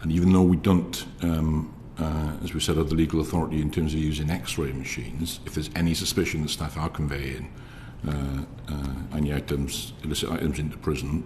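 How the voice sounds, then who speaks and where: Very low, flat male official, hesitant procedural delivery, roomy hall reverb. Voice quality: very low, quiet voice. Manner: hesitant, filler-heavy, procedural. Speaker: prison service official giving evidence. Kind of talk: formal evidence to a committee. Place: parliamentary committee room. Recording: reverberant hall with faint noise.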